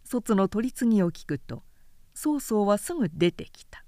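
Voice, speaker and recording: Female literary narrator: measured, articulate, warm dark tone, very dry close sound. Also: mid-range voice, big pitch swings, no echo. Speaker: professional narrator. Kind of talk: scripted literary audiobook narration.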